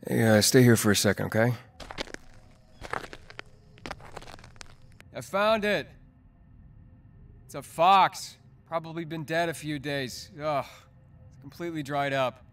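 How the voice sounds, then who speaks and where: A man playing a cautious, practical young guide on a vampire hunt in an audio drama, measured and dry in delivery. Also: on the high side, expressive, a bit bright, a little hiss or hum. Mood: wary. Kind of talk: audio drama acting, explanatory.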